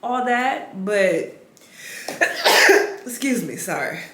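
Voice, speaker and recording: A woman's voice: medium pitch, lively intonation, drawn-out words, a bit bright, roomy sound, slight background noise. A woman reaction vlogger with a sniffly voice, chatting bluntly and casually about celebrity news from a rented home. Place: rented home full of plants.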